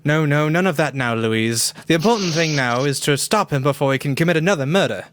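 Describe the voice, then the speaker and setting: A man playing a bluff, excitable upper-class British gentleman with theatrical exclamations in an amateur radio play. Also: a little high, brisk. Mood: grave, urgent. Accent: put-on British. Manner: theatrical, old-fashioned exclamations.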